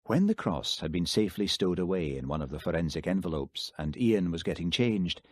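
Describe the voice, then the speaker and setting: A man narrating an audiobook in a steady, measured storytelling manner. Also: deep voice, no room sound, studio quality. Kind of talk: scripted narration.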